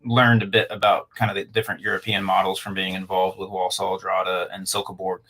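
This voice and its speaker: Man, lowish voice, interview guest, hesitant, lots of fillers. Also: monotone, brisk, bright, forceful tone. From Canada and Alaska. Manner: thoughtful, hesitant, many fillers and restarts. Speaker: guest, club owner. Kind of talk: casual interview.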